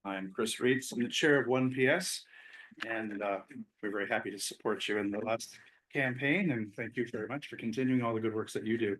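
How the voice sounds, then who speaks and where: A man's voice: fairly low pitch, fairly quick, fairly bright tone, poor audio. A man speaking as a community group chair during public comment at a city commission meeting, explaining a proposal with frequent fillers. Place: city council chamber.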